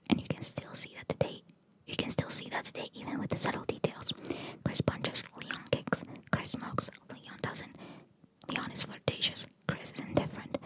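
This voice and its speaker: A woman whispering in an ASMR style, reading trivia aloud with chatty, informal asides in a breathy, gravelly whisper. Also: poor audio. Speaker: ASMR video host.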